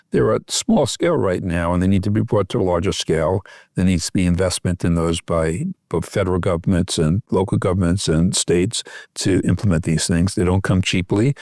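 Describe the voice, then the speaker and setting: A man's voice: sits low, a little mellow, dry recording, studio quality. A man speaking as an expert guest in a podcast interview, explaining earnestly and repeating key phrases. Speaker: expert guest, physician researcher.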